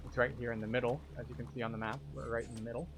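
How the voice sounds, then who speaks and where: A man's voice: medium pitch, little pitch movement, racing, noisy background. A man giving methodical, instructional commentary as the tour guide of a video game walkthrough. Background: game music.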